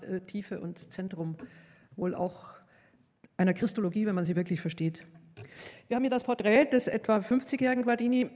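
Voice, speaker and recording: A woman giving a measured academic lecture, pausing often with hesitations as she speaks. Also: mid-range voice, dark tone, big-room sound. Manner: measured, thoughtful, with frequent hesitations.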